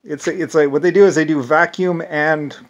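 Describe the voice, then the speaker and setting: A man with an Irish accent talking in a rambling monologue, halting often with restarts and fillers. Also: fairly high pitch, fairly even intonation. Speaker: self-described inventor.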